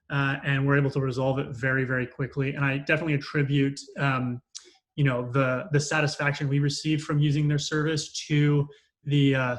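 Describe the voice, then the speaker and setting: A man giving an unscripted customer testimonial as an operations manager, speaking hesitantly with frequent mid-sentence pauses. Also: medium pitch.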